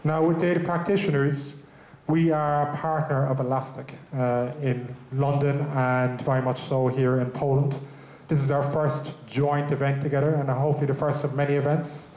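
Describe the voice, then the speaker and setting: A man's voice: medium pitch, little pitch movement, moderate pace, darkish tone. An Irish man in his thirties opening a tech meetup as host, speaking loosely into a handheld mic with many fillers and restarts. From Ireland. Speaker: event host, head of technology. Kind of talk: welcome speech at a tech meetup. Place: large event room with a small stage. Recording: echoey event room.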